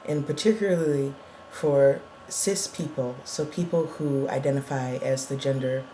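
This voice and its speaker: Low, slow-talking woman co-host. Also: mellow, slight background noise, low-quality recording. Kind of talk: casual chat.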